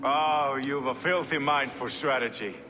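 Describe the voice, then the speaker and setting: A man's voice: mid-range voice, fairly even intonation, measured pace, close to an everyday voice. Wry medieval lawman acted by a man, noisy backdrop. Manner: blunt, sardonic, colloquial. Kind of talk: video game voice acting. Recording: reverberant, clear outdoor ambient noise.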